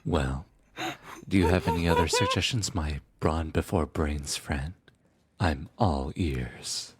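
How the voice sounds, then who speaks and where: A man's voice: a bass voice, wildly swooping pitch, slow, heavy background noise, heavily acted. A man acting in a fantasy audio roleplay as a sly, teasing trickster god, theatrical and mock-polite. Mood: smug.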